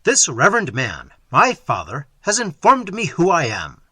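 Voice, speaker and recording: A male reader voicing a rash young man in love, crying out in loud, impassioned outbursts. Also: a little high, huge pitch swings, normal speed, breathy edge, no echo, full character voice. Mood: frenzied. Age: young adult. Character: passionate young lover, rash and frenzied.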